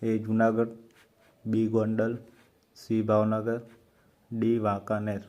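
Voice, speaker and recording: A man with a Gujarati accent hosting an exam-prep quiz, reading out questions and options slowly and carefully. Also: on the low side, monotone, darkish tone. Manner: slow, measured reading of options. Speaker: quiz host for exam preparation.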